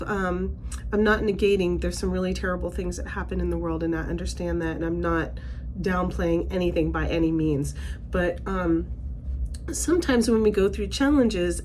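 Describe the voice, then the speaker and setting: A middle-aged woman talks through an oracle card reading in a loose, chatty way, full of fillers and restarts. Her voice is a little raspy after a recent bout of laryngitis. A faint hum of room noise sits behind her. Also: mid-range voice, darkish tone. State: slightly raspy, recovering from laryngitis. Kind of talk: casual spiritual card reading.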